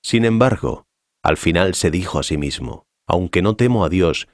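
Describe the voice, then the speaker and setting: Very deep solemn teacher, acted by a man, authoritative, measured, didactic, dry sound, dramatized scripture. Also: expressive, no echo. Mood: solemn teaching.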